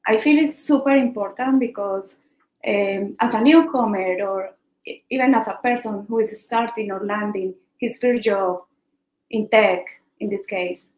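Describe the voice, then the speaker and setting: A woman hosting an online interview, asking questions in an encouraging, chatty way. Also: mid-range voice. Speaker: interview host. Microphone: video call. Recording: echoey room on video call.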